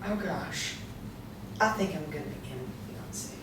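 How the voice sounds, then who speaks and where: A woman's voice: low-pitched, lively intonation, a little slow, a crowd in the background. A woman playing a chirpy, anxious, proper friend in a stage play reading, fretful and exclamatory. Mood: worried. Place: small indoor room, seated with scripts. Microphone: distant camera. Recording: echoey room, distant mic, faint noise.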